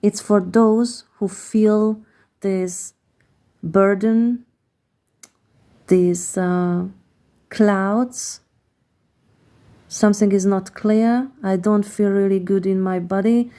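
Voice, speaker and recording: A woman with a Hungarian accent, a native Hungarian speaker, gives a slow, reflective tarot card reading, pausing often and searching for words. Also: medium pitch, fairly even intonation, mellow. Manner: hesitant, searching for words with many pauses.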